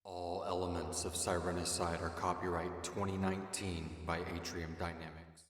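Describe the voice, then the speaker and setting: A man's voice: low voice, little pitch movement, moderate pace, fairly bright tone. A man reading a scripted notice as an announcer, recorded with distant hall-like reverb.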